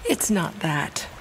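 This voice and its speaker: Woman acting as slow, tender, emotional mother. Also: medium pitch, a little hiss or hum, heavily acted. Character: tender, emotional new mother, loving and worried. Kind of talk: audio drama acting. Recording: music under the voice.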